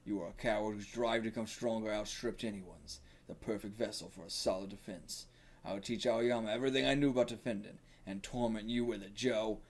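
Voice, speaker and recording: A man voicing an old, gruff boxing coach with a gravelly, solemn, confessional delivery. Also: lowish voice, fairly quick, lightly acted. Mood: solemn, remorseful.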